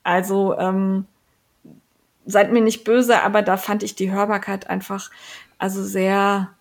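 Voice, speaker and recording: Gravelly-voiced woman podcast co-host. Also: mid-range voice, little pitch movement, a little slow. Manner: chatty, many fillers and restarts. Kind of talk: casual podcast chat.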